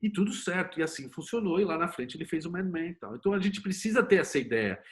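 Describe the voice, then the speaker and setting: A man's voice: high-pitched, expressive, fast talker. A middle-aged man with a Brazilian accent, speaking as an interview guest in a colloquial, opinionated, conversational way. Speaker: interview guest, series producer and screenwriter. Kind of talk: conversational interview talk with anecdotes.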